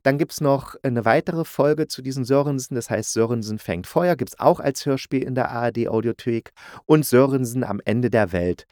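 Male podcast host, average-pitched voice, casual review style, colloquial, opinionated, very dry room sound. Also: moderate pace, darkish tone, no echo.

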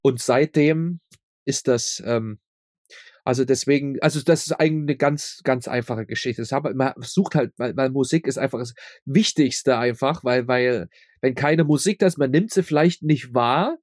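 A man's voice: mid-range voice, normal speed, no room sound, studio quality. A man speaking as a guest in a casual interview, rambling and often repeating his words as he explains his audio drama work.

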